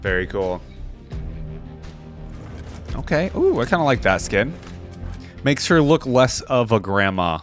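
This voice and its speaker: A man's voice: medium pitch, very animated, takes it slowly, pauses often, soft background music. A young man giving casual reaction commentary as a video host, musing aloud in an informal way.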